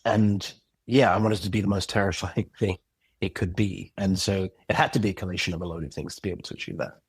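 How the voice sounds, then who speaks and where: Husky low-voiced man, reflective. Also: no room sound. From Zimbabwe. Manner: thoughtful, searching, with frequent restarts and "you know what I mean". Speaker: podcast guest, audio drama creator. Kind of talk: reflective interview conversation.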